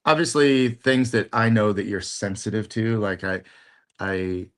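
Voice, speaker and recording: A middle-aged man co-hosting a podcast in casual chat, talking in halting bits with lots of fillers and restarts. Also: fairly low pitch, steady tone, a bit bright, clear, resonant tone. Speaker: podcast co-host.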